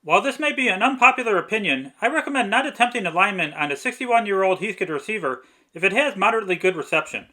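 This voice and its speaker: Guy with a high bright voice narrating a scripted restoration how-to. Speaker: narrator, vintage radio restorer.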